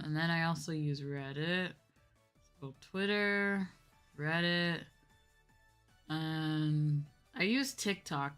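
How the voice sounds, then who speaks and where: Young woman chatting on stream, kinda low voice, takes her time, bit of music behind. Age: young adult. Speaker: VTuber streamer chatting with viewers. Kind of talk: casual livestream chat.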